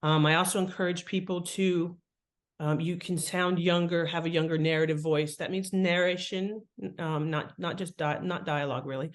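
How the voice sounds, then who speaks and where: A woman's voice: fairly low pitch, steady tone, normal speed. Woman explaining things in an interview. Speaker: guest, fiction writing author.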